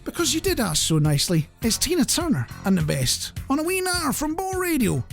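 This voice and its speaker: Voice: sits high, huge pitch swings, brisk, gravelly, faint music behind. A radio host chatting casually to listeners, wry and jokey, wandering off into asides.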